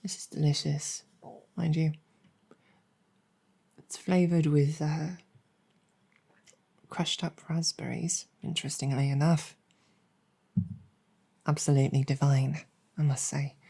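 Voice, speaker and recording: A woman voicing a weary, earnest demon general in an intimate audio roleplay, speaking reflectively with hesitant pauses. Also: deep voice, little pitch movement, creaky, mellow, slightly rough, full character voice. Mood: savouring, relaxed.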